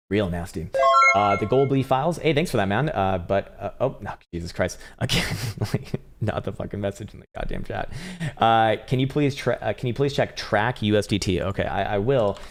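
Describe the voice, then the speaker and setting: A man hosting a live crypto trading stream, talking loosely and profanely with halting restarts as he reads viewer chat, his voice getting strained and hoarse. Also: fairly low pitch. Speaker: crypto trading livestream host. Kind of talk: casual live-stream chart commentary.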